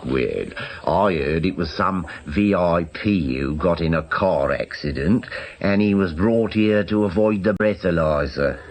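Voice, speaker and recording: A British actor playing a chatty caretaker in a radio drama, rambling and confiding in a naturalistic way. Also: male voice, deep voice, lively intonation, a little slow, darkish tone. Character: gossipy working man, chatty clinic caretaker.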